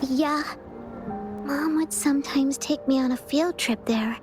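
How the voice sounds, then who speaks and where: A woman voicing a timid, earnest small child in a video game, speaking in a hesitant, halting way. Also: high voice, music in the background. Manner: hesitant, halting, soft-hearted. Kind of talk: video game character acting.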